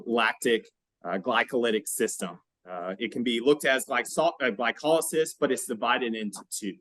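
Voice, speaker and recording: A man's voice: mid-range voice, a bit bright, no room sound, rough recording. A male podcast host with a mid-range voice in a dry, close recording. He explains things conversationally, feeling his way through phrases and often checking in with the listener.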